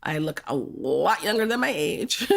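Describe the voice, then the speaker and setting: Older woman, from Jamaica, low voice, husky and gravelly, candid, laughing often. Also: big pitch swings, measured pace. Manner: frank, laughing, reflective. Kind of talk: candid podcast conversation.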